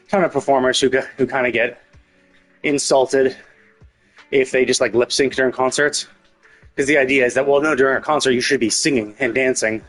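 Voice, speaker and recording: A man's voice: mid-range voice, fairly bright tone. A man giving casual, rambling live commentary as a game streamer, thinking aloud as he goes. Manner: mild casual swearing. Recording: game music under the voice. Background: game music with guitar.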